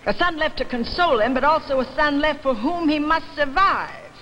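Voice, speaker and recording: A woman's voice: high-pitched, normal speed, fairly bright tone, highs cut off, pitched above the performer's own voice. A woman playing an argumentative, insistent father among train passengers in a dramatic story reading. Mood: cross, anguished. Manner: emphatic, insistent. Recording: noisy old archival reading recording.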